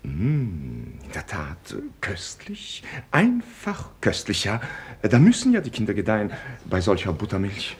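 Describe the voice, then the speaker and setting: A man acting an obsequious, flustered aristocratic adjutant in a German radio play, fawning, with a raspy voice. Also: on the low side, huge pitch swings, a little slow, a little mellow, full character voice. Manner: fawning, echoing his master.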